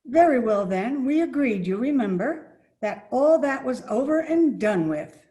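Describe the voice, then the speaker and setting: A woman playing a genteel former fiancée in 1940s radio comedy style, coy and gently chiding. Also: high-pitched, lively intonation, measured pace.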